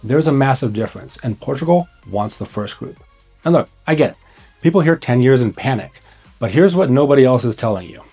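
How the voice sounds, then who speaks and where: A man's voice: mid-range voice, animated, a little fast, dark tone, slightly rough, soft background music. A man presenting scripted advice to camera as a host, speaking bluntly and urgently in short, punchy, emphatic phrases. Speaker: host, immigration consultant.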